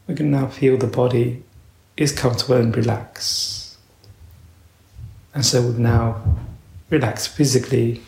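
Gravelly man guiding a meditation. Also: mid-range voice, a little mellow, roomy sound. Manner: slow, measured, with long pauses. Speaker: meditation teacher. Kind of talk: guided meditation instruction.

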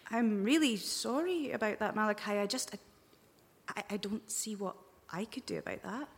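A woman's voice: mid-range voice, moderate pace, natural voice. A woman playing a kind but doubtful astrophysicist crewmate in a live audio drama, hesitant and apologetic. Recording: echoey hall, live stage recording.

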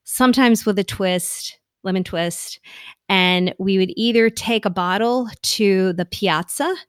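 A woman's voice: mid-range voice, measured pace, clear, resonant tone, no echo, clean studio sound. A middle-aged woman co-hosting a podcast, chatty and giggly, rambling through a personal story. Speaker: podcast co-host. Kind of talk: casual conversational chat.

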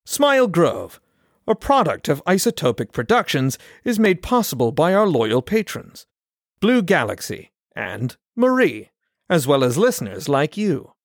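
A man's voice: a little high, big pitch swings, unhurried, no room sound, studio quality. A man reading scripted credits as an announcer.

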